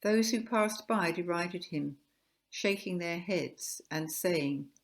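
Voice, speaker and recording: Low, creaky woman narrator. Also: slow. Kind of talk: scripted scripture narration. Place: recorded at home.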